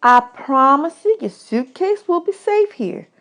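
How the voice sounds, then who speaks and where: A woman's voice: sits high, big pitch swings, unhurried, fairly bright tone, voice pushed up, exaggerated voice. A woman voicing a kindly, teasing camp woman in a storybook, folksy, motherly and reassuring.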